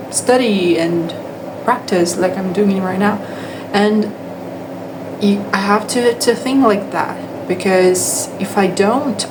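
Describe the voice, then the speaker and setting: A woman's voice: mid-range voice, noisy background. A young Brazilian woman, a native Portuguese speaker, talking in English with a Brazilian accent in a hesitant, casual monologue full of restarts. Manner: hesitant, with frequent restarts and fillers. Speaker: English teacher.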